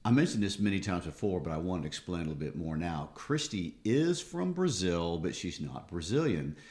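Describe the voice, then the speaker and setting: A man's voice: low-pitched, sing-song, brisk, a little mellow. A man, talking conversationally as the co-host of a podcast.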